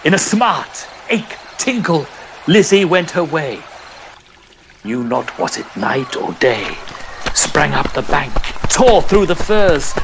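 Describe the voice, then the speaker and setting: A man's voice: a little high, background noise. A man narrating a poem in a theatrical old-style radio storytelling manner, with varied emphasis. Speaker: podcast narrator.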